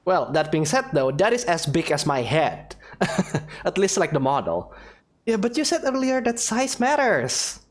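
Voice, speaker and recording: A man's voice: on the high side, big pitch swings, measured pace. A man giving casual let's play commentary, with joking, teasing asides. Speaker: gaming video creator.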